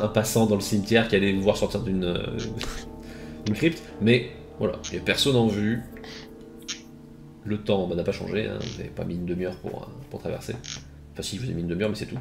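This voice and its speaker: Easygoing low-voiced game master man. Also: vocal fry, reverberant. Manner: relaxed, easygoing, fills with hein and euh. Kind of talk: narrating a tabletop game.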